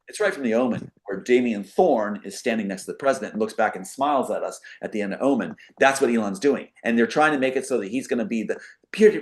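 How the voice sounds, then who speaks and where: A man speaking as a talk show guest in a casual, rambling monologue, emphatic and given to acting out quotes as he explains his theories. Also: fairly low pitch.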